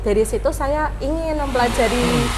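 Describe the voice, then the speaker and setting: A woman's voice: sits high, moderate pace, background noise. A woman, a language teacher explaining things patiently in a podcast interview, with frequent "eh" and "nah". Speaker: Javanese language teacher, guest.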